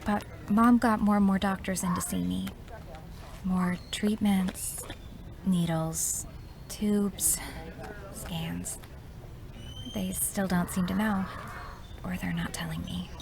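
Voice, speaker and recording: An actress playing a frail, trusting, sheltered teenage girl in an audio drama, soft and halting. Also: lowish voice, steady tone, creaky, noisy background. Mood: weary, wistful.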